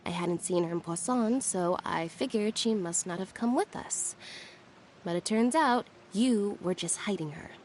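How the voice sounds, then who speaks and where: A cool young sister in a video game voiced by a woman, blunt and deadpan. Her medium-pitched voice is terse, with faint background noise. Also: unhurried, close to an everyday voice. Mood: coolly firm.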